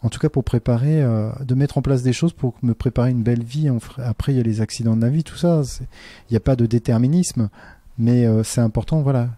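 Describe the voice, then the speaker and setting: A man's voice: medium pitch, fast talker, dark tone, no echo. A man, a teacher, talking earnestly in a casual interview, with many fillers and restarts. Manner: earnest and reflective.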